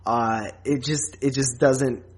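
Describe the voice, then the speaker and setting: A man's voice: medium pitch, steady tone, slow pace. A man hosts a casual film review chat. His talk is informal and slangy. He often halts and circles back over phrases before landing his point. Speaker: podcast host.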